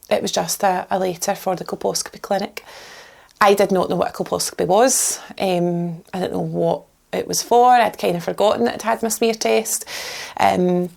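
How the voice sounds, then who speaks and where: A woman's voice: mid-range voice, creaky, darkish tone. A Scottish woman in her early thirties, a mother, telling her own story hesitantly with frequent ums. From Scotland. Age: 30s. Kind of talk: personal testimony.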